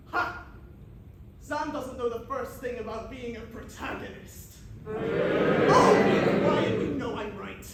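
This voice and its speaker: A woman playing a vain, jealous hobbit hero with hammy, melodramatic asides in an amateur stage pantomime. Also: sits high. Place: theatre stage, miked from a distance. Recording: echoey, noisy theatre recording from far off.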